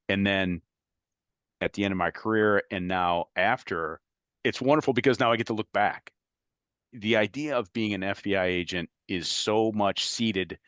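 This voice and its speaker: A man's voice: deep voice, fairly bright tone, no echo. A middle-aged man who is a guest on an interview show, talking reflectively and chuckling now and then as he tells stories. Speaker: guest, former FBI agent. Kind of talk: conversational interview.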